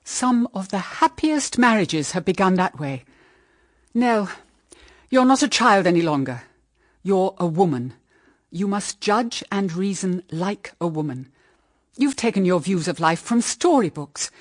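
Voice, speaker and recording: Casting: middle-aged British mother, period radio drama. Grave, practical, formal, expressive mid-pitched voice. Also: no room sound, studio quality. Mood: gentle, grave. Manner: measured, earnest, formal phrasing. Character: grave, pragmatic widowed mother guarding her daughters.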